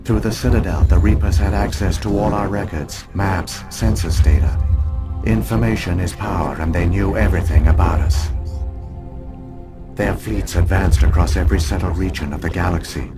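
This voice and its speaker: A man's voice: low-pitched, close to an everyday voice. A man voicing a grave ancient AI. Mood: solemn. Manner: measured, grave, formal delivery. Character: ancient Prothean AI archive program, solemn. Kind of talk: video game voice acting. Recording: music playing behind.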